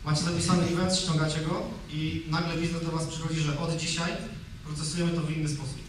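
A man's voice: a little high, level tone, fast talker, slight background noise. A man in his thirties giving a technical talk on a conference stage into a handheld mic, in a loose conversational way full of fillers and restarts. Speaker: conference speaker. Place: large conference hall, on stage. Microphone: handheld microphone. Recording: echoey hall, distant from the mic.

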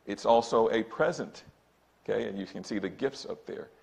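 A man's voice: lowish voice, moderate pace, creaky, darkish tone. A man in his sixties, a church elder, preaching emphatically from a pulpit and often calling out to brothers and sisters. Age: 60s. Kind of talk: sermon. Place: church sanctuary, indoors. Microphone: pulpit microphone, far camera.

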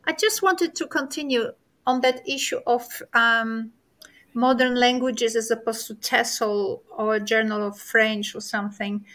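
A middle-aged woman hosting a discussion on a video call, speaking in a measured, reflective way. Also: on the high side, fairly bright tone. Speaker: host.

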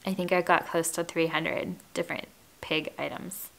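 A woman in her thirties with a breathy, calming voice, chatting casually to camera from her living room. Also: on the low side, normal speed. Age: 30s. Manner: gentle, calm, chatty with light laughs. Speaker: home and lifestyle YouTuber. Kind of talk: casual get-to-know-me chat to camera. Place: home living room with wood floors. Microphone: camera at chest-up framing.

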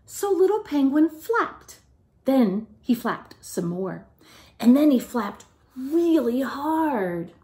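A middle-aged woman reading a picture book aloud to young children in an expressive teacherly way. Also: high-pitched, takes it slowly. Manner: expressive, questioning, child-directed delivery. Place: living room at home, indoors.

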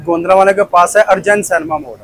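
High-voiced young man selling. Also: steady tone, fast talker, a bit bright. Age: 20s. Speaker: shopkeeper, wholesale chair trader. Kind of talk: sales demonstration. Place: small crowded shop indoors. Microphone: clip-on lapel microphone.

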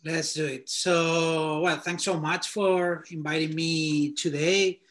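A Spanish-accented man in his thirties talks casually over a video call, his voice sitting a little high for a man. He rambles loosely, leaning on fillers as he works out his thoughts. The sound is a laptop mic in a small room at home. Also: little pitch movement, slow, fairly bright tone. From Spain. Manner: loose, filler-heavy, thinking aloud. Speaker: guest portfolio reviewer. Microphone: laptop webcam video call.

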